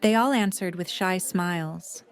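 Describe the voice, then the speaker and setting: A woman's voice: fairly low pitch, measured pace, some chatter behind. A woman reading scripted third-person story narration for an audio drama.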